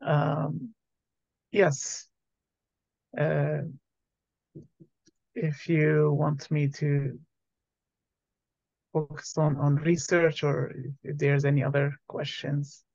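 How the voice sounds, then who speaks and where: A man from Iraq, a doctoral student, answering interview questions politely and steadily with soft fillers. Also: a little high, level tone, very slow, vocal fry, pauses often, no room sound. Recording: dry, muffled lo-fi mic.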